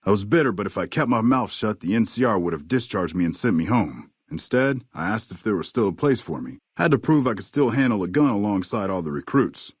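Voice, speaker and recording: A husky, drawling veteran sniper. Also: male voice, fairly low pitch, expressive, no room sound, clean studio sound. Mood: steady, understated. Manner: folksy, unhurried, understated. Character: weathered veteran army sniper, courteous, laconic old ex-scout. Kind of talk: video game character dialogue.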